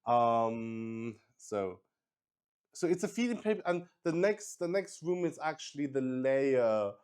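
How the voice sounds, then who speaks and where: A man's voice: mid-range voice, very animated, slow pace, darkish tone, clear, resonant tone. A man narrating a solo tabletop roleplaying game casually, thinking aloud, mocking himself and swearing freely. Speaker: solo player and game master.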